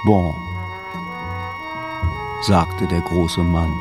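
A man narrating a radio drama as a sombre, measured storyteller. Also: low voice, level tone, over loud music.

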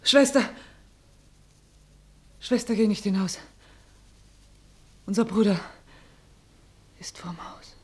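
A woman playing a wary, fearful working-class sister, narrating and speaking in measured rhymed verse in a radio drama. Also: fairly low pitch, husky. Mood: urgent warning. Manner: declamatory, measured verse delivery.

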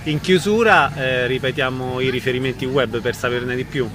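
A man's voice: medium pitch, normal speed. A man working as an interviewer, putting brief questions to his guests.

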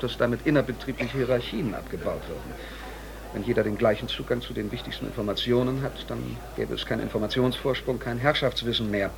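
An actor playing a male company employee telling a story with measured, dry irony in a radio drama monologue. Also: medium pitch, gravelly, close to an everyday voice, low-quality recording. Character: dryly ironic male company employee recounting office absurdities.

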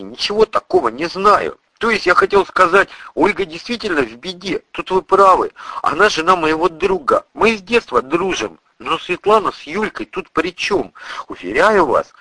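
Guy doing a nervous nerdy programmer in an audiobook, polite but flustered, pitched up and raspy. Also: bright, forceful tone, no echo, full character voice, low-quality recording. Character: anxious educated male computer programmer, polite, bewildered.

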